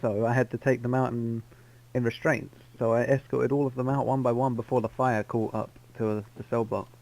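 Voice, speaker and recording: A man's voice: medium pitch, flat delivery, brisk, gravelly, a little mellow, poor audio. Man rambling through an in-character story. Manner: rambling, self-correcting storyteller. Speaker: roleplay player. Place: online game voice chat.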